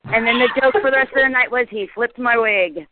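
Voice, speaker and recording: A woman's voice: fairly high pitch, fairly quick, noisy background. Playful woman on a tinny call. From Cincinnati, Ohio. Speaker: guest on a fan podcast. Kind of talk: casual chat over a call. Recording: tinny phone-like line.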